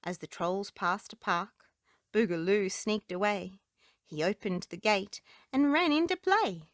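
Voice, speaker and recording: Slow-paced woman reading bedtime stories. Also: mid-range voice, expressive, no echo, clean studio sound. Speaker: children's book reader. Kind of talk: read-aloud storybook and nursery rhyme narration.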